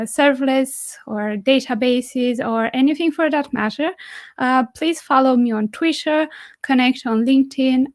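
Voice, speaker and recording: A woman from Romania presents a technical talk at an online meetup, steady and explanatory with many small fillers. Her voice sits a touch high, and the recording is dry and close with no room sound. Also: dry recording. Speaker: conference speaker. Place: home or office, remote online meetup.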